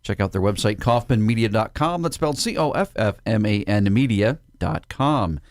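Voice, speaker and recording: Male podcast host, expressive intonation, encouraging, dry studio mic. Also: fairly low pitch, normal speed, no echo. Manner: lively, encouraging, frequent backchannels. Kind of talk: casual interview.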